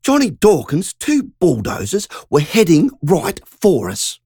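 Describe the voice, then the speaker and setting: A woman's voice: on the low side, huge pitch swings, unhurried, mellow, breathy edge, no echo, clean studio sound, voice pushed up, full character voice. A narrator voicing Margie, a shaken country woman who tells a frightening story in an urgent, breathless rush. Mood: frightened.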